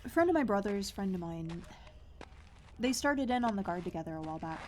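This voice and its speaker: Fantasy audio drama outcast guide, young female, played by a woman: dry, brisk, mid-range voice over slight background noise. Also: mid-range voice, expressive, a little mellow, lightly acted. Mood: awkward, reflective. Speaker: voice actor. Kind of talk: fantasy audio roleplay acting.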